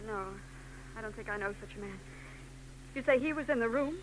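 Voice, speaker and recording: An actress playing a troubled young married woman in a 1940s radio drama, soft and hesitant. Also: fairly high pitch, brisk, lightly acted, rough recording. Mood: anxious. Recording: old radio broadcast with hum.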